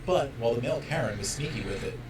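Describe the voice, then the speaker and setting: A man's voice: mid-range voice, animated, very fast. Male voice-over narrator, punchy and mocking, roomy distant sound with noise. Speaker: narrator of a compilation video. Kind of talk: scripted narration.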